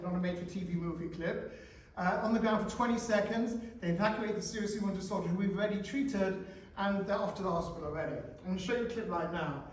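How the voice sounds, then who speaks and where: Guy in his 40s giving a school talk, high-ish voice, booming hall echo, sounds far off, quizzes the kids. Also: slight background noise. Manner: animated, asks the audience questions. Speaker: guest speaker. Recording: echoey hall, speaker far from mic.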